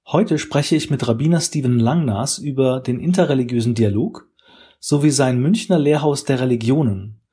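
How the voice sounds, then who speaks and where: A man's voice: medium pitch, normal speed, dark tone, husky. A male podcast host reading a formal introduction and asking polite interview questions.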